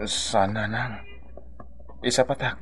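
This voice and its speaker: A man's voice: on the low side, fairly even intonation, takes it slowly, sharp tone. A man with an Ilocano accent playing an emotional, grunting, hot-tempered husband in a radio drama. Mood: weak remorse. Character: hot-headed drinking husband and father, later remorseful.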